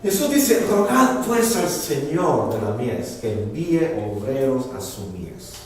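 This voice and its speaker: A man's voice: mid-range voice, big pitch swings, takes it slowly, darkish tone. A man from Honduras with a Honduran accent, preaching a bilingual sermon and repeating words as he looks for them. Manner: switches between Spanish and English, repeats words while searching for them. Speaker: pastor. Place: church sanctuary. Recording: echoey church hall from far off.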